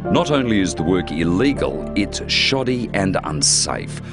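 A man's voice: low voice, very animated, unhurried. A man with an Australian accent reading scripted narration as a television news reporter. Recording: loud music under narration.

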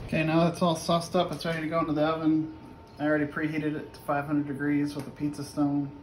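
A man's voice: fairly high pitch, monotone, fast talker. Casual middle-aged man, noisy kitchen recording. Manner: unpolished, offhand step-by-step delivery. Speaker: home cook presenting a recipe. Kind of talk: casual cooking demonstration. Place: home kitchen, indoors. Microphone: phone camera held nearby. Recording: clearly noisy home kitchen video.

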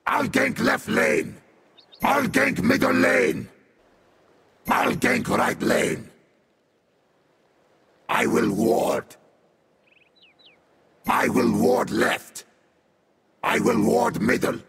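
A man playing a sadistic, vengeful infernal beast warrior in a video game, with a harsh demonic growl and theatrical, deliberate delivery. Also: very high voice, creaky, a bit bright, husky, heavily acted. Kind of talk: video game character voice lines.

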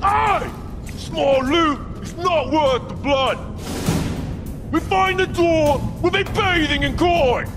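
An actor playing a greedy, boisterous male raider thug in a video game, exclaiming roughly. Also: extremely high pitch, slow, music in the background. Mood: greedy, rowdy. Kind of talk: shouted video game acting.

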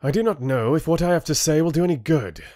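A young, embittered man of a fantasy audiobook, performed by a male reader. He is defiant and hot-tempered, speaking forcefully and earnestly in a pitch lifted above normal narration. The recording is close and dry. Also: animated, takes it slowly, no room sound. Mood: tense, restrained anger. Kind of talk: audiobook character acting.

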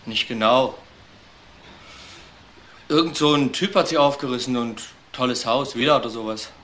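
A man's voice: mid-range voice, normal speed, fairly bright tone, close to an everyday voice. An actor playing an indifferent, noncommittal man giving casual answers in a crime radio play. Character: offhand, indifferent male informant. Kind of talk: German crime radio drama acting. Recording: faint background noise.

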